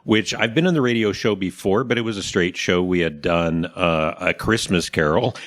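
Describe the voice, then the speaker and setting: A man's voice: low voice, normal speed, fairly bright tone. A man hosting a talk show in a casual, conversational style, explaining things and sometimes searching for his words.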